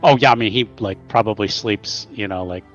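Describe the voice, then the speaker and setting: A man playing a tabletop roleplaying game, talking casually and haltingly, on a slightly dull microphone. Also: on the low side, fairly bright tone, soft background music.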